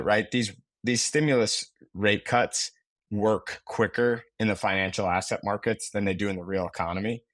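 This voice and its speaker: A man co-hosting a casual market discussion, measured and conversational. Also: lowish voice, no echo. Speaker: podcast co-host.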